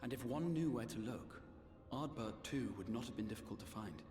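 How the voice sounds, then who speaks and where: A man voicing a composed, analytical gentleman of a fantasy game. He speaks formally and articulately at a middling pitch. The voice carries hall reverb, with music playing clearly behind. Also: brisk, natural voice. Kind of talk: scripted fantasy game voice acting.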